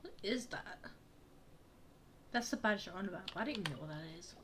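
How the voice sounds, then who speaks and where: A woman plays a video game and comments casually, sometimes reading text aloud. Her voice is average in pitch, recorded with noticeable ambient noise. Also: expressive, brisk.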